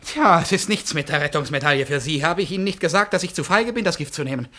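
A man's voice: a little high, expressive, quick pace, pushed and bright. An actor playing a feverish, erratic, accusing man in breakdown, theatrical, in a 1950s German radio drama. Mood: agitated, bitter. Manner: theatrical, swinging between whispers and outbursts. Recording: slightly muffled-voiced 1959 radio production.

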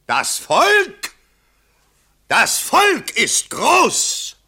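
An actor in a 1970s German radio play, playing a pompous yet anxious election candidate with theatrical oratory. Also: male voice, very high voice, huge pitch swings, extremely slow, sharp tone, breathy edge, heavily acted. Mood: bombastic. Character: pompous, anxious male election candidate rehearsing and declaiming speeches.

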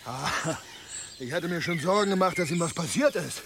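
An actor in a German radio play, playing a wry, weary medieval warrior who has become a farmer. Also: male voice, fairly high pitch, lively intonation, normal speed. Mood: wry, unruffled. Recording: noisy outdoor ambience, birdsong.

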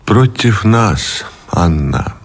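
Casting: weary middle-aged naval commander, deep, husky, courteous, radio play romance lead. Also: male voice, sing-song, very slow, heavily acted. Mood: somber. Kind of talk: Russian radio drama acting.

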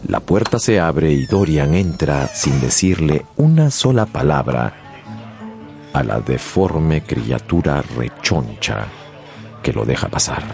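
A man narrating a radio novel dramatically, with deliberate, suspenseful phrasing. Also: very deep voice, vocal fry, a little mellow.

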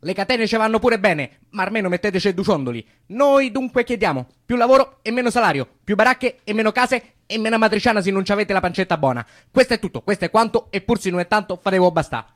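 Theatrical counterculture poet, male actor: high-pitched, mock-solemn, proclaiming absurdities with a Roman accent for stage comedy. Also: animated, quick pace, hardly any pauses, fairly bright tone. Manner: declamatory, pompous, rhyming. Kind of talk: mock news bulletin declamation.

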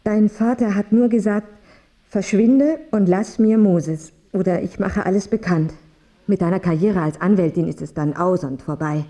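A woman's voice: mid-range voice, moderate pace, dark tone, lightly acted. An actress playing an anxious, remorseful mother in a radio drama, speaking hesitantly. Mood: confessional, earnest. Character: anxious, remorseful mother who left her son long ago.

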